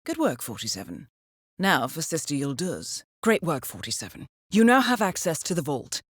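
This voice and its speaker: An actress playing a composed intelligence handler who briefs an assassin in a measured, formal, understated way for a video game. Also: female voice, sits low, huge pitch swings, no echo, exaggerated voice. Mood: cool, efficient. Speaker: mission handler. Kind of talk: scripted video game voice acting, mission briefing.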